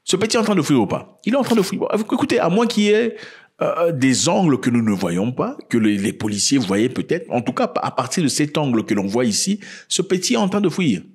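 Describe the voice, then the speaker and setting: A man's voice: a little high, big pitch swings, brisk, breathy edge, no echo, clean studio sound. A man whose first language is not French, commenting on a news video in a casual style, asking many rhetorical questions and often restarting his words.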